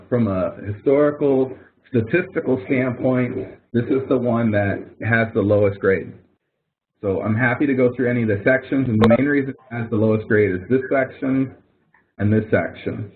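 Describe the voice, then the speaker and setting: A man's voice: mid-range voice, slight background noise. A man leading an online help session as instructor, explaining things step by step in an informal, folksy way. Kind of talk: casual screen-share walkthrough of a spreadsheet exercise. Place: online web conference. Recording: muffled narrow phone-like audio.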